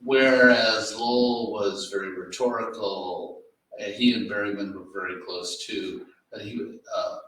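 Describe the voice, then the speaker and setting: Halting man in a very echoey room. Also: on the low side, fairly even intonation, fairly bright tone. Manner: halting, searching for words. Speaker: literary event presenter, editor. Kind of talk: informal literary commentary. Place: indoor library event room. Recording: very echoey, distant mic, faint noise.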